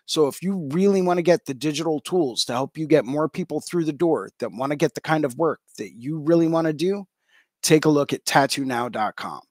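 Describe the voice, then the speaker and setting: Man, show host, upbeat, lots of ums, slightly high voice, dry close sound. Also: brisk, darkish tone, dry recording. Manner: upbeat, peppered with ums and uhs. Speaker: podcast host. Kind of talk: casual interview show with sponsor reads.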